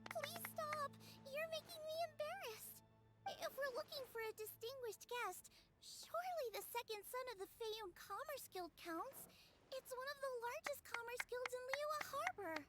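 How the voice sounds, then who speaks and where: Super high squeaky little fairy girl, excitable n whiny, game cutscene w music behind. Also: normal speed. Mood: flustered. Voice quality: squeaky, childlike. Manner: refers to self in third person, whiny, exclamatory. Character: small, excitable childlike fairy girl companion. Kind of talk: animated game cutscene dialogue. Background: background music.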